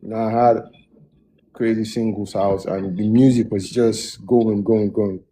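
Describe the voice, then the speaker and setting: A man's voice: on the low side, measured pace, a little hiss or hum, rough recording. A man, a music producer, telling his story in a casual interview with a lot of restarts. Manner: relaxed, loose storytelling with many restarts.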